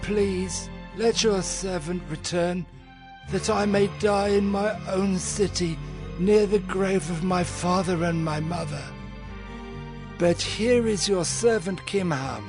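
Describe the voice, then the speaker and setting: An actor playing a very aged, humble and weary man, speaking haltingly and deferentially in a scripture audio drama. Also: high-pitched, over music. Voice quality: creaky, aged voice.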